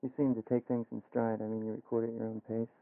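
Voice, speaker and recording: Man, American radio interviewer, creaky voice, monotone, low-key and conversational, thin phone-like vintage broadcast sound. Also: quick pace, no room sound. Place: radio studio.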